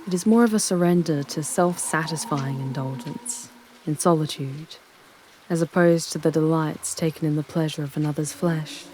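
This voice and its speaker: Woman acting a low, sultry demoness, haughty. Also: warm tone, slight background noise, natural voice. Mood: musing. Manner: haughty, theatrical, formal phrasing. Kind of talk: ASMR roleplay, dramatic monologue to the listener.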